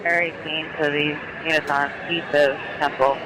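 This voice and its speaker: A man's voice: a little high, level tone, normal speed. A male police officer directing units over a police radio in dispatch talk. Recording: noisy police scanner, outdoor traffic noise.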